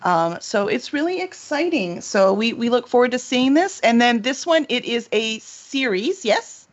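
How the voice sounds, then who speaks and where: A woman's voice: a little high, sing-song, a little slow. A woman hosting a casual book livestream as the publisher, talking in an enthusiastic, gushing manner. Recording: slightly dull online stream audio.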